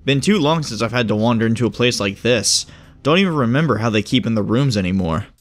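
A man's voice: medium pitch, expressive, fast, no room sound, close to an everyday voice. An actor playing a bored, easygoing male minder with an offhand, rambling manner in a horror audio drama. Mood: grumbling, offhand. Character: bored, flippant male babysitter-bodyguard, easygoing oddball.

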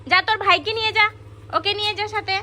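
A woman's voice: very high-pitched, fairly even intonation, fast, bright, forceful tone, heavily acted. A woman voicing a stern village mother doll in a homemade cartoon, scolding and giving orders. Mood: cross, scolding. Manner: scolding, commanding.

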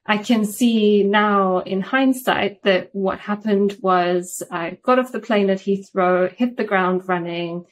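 Guest: South African woman, 30s, measured storyteller, mid-pitched, webcam at home. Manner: thoughtful, steady storytelling with frequent ums. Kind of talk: video call interview, personal story. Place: home room with shelves and plants, indoors. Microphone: video call webcam.

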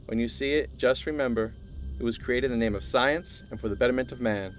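An actor playing an earnest, secretive male scientist in an audio drama, speaking in a measured, formal way. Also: mid-range voice, a little hiss or hum, close to an everyday voice. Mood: grave, secretive.

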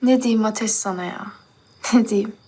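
A woman's voice: mid-range voice, measured pace, mellow, natural voice. An actress playing an exasperated, independent young woman in a TV drama, confrontational and firing questions. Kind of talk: naturalistic TV drama acting.

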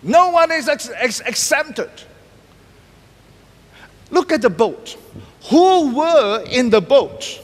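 A middle-aged male pastor preaching with a high, lively voice that leaps up and drops low for emphasis. He speaks slowly and deliberately, hammering single words again and again. The sound carries a long reverb from a large church hall. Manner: emphatic, repeats key words, pacing and gesturing widely. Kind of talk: sermon. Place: large church stage decorated for Christmas.

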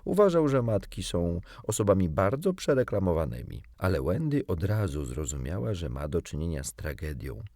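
A man narrating a children's story as an audiobook reader, in an expressive, theatrical way. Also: low-pitched, moderate pace, few pauses, no room sound, clean studio sound.

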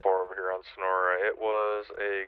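A man's voice: deep voice, flat delivery, brisk, smooth, no echo, phone-quality sound. A man chatting casually and slangily in an online roleplay game, swearing freely. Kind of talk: casual gamer chat.

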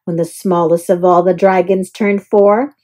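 A woman reading a children's picture book aloud as an expressive storyteller. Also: lowish voice, measured pace.

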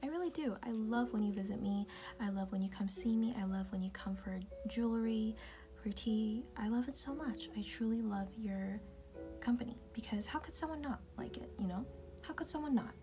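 A woman speaking in a soft, breathy, often unvoiced whisper, tenderly reassuring the listener in an intimate ASMR roleplay. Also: fairly high pitch, moderate pace. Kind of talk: ASMR personal-attention roleplay, comforting affirmations. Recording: music playing behind the voice. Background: music.

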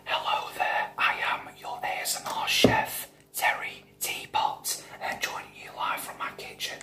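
A man's voice: unhurried, pushed and bright, roomy sound, distant mic. A young man playing a proud, mock-serious chef, whispering in ASMR style for a comedy sketch. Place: small kitchen.

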